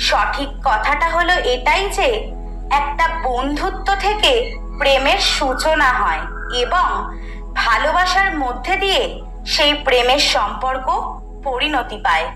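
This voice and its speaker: An actress playing Friendship personified in a scripted audio story, a wise, firm peacemaker lecturing with emphatic, deliberate phrasing. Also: female voice, high voice, animated, bright, forceful tone, heavily acted. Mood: earnest lecturing. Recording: roomy echo, music bed underneath.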